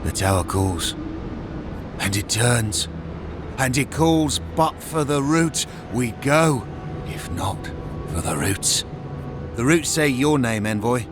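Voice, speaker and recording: Video game role: maddened, paranoid soldier, menacing, slow, wildly swinging pitch, music behind. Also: male voice, expressive. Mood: eerie menace. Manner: ranting, sing-song repetition. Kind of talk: dramatic video game acting.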